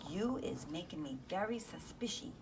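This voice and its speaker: A woman's voice: fairly low pitch, animated, moderate pace, noisy background, natural voice. A woman voicing a huge, greedy, bullying male giant in a storybook, menacing and ungrammatical. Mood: suspicious.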